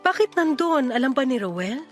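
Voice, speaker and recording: A patient, worried young woman in a Filipino radio drama. Her Filipino-accented voice is on the high side, earnest and reassuring as a loyal friend. Light music plays underneath. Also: big pitch swings, moderate pace. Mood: worried, probing. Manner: earnest, reassuring, gently insistent. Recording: music behind the voice.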